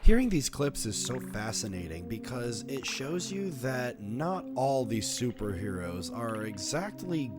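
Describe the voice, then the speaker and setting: A man's voice: mid-range voice, sing-song, unhurried, hardly any pauses, music in the background. A man narrating a scripted video essay as a horror commentator, in a playful, wry, conversational way.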